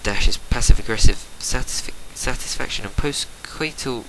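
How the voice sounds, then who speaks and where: Man, theatrical story narrator, medium pitch, prone to stumbles, noisy amateur recording with clicks. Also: normal speed, bright, forceful tone. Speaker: reader of fan fiction. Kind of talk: dramatic reading of a story.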